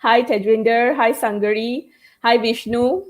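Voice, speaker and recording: A woman's voice: fairly high pitch, measured pace. A woman whose first language is Tamil, speaking as a communication trainer in a live online chat, explaining tips and often checking in with "okay?" and "all right?". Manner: instructive, peppers speech with "all right?" and "okay?".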